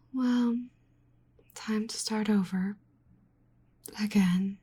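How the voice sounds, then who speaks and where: A woman playing a nerdy, flustered slime-girl researcher in an ASMR roleplay, speaking softly with fussy, rambling, stammering exasperation. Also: mid-range voice, husky. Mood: awkward, hopeful.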